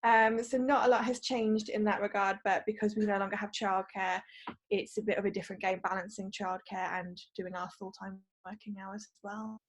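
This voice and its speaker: A woman's voice: mid-range voice, little pitch movement, moderate pace. A woman speaking matter-of-factly in a video call interview from home.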